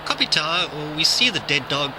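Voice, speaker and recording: Man as pilot, lively pitch, noisy recording. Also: fairly quick, close to an everyday voice. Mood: procedural. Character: male pilot in the landing pattern. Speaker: pilot in practice exercises. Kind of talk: scripted radio call readbacks. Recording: clear outdoor-like background noise, distant timbre.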